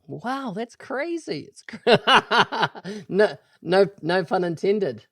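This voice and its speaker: A man from New Zealand hosting a podcast, asking friendly, quick questions and chuckling now and then. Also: high-pitched, big pitch swings, a bit bright, no room sound, clean studio sound. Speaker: podcast host. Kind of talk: conversational podcast interview.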